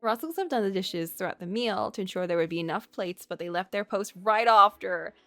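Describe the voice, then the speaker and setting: A woman reads a visual novel aloud for a stream with a lively, swooping delivery and relaxed asides. Her voice sits dry and close, with faint music behind it. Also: mid-range voice, brisk, dry recording. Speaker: game streamer.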